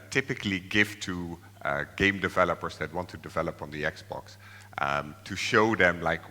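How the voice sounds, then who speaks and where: A man's voice: deep voice, pushed and bright, in a large hall. A man from the Netherlands, giving a hesitant conference tech presentation full of fillers and restarts. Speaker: software developer presenting. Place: large conference hall.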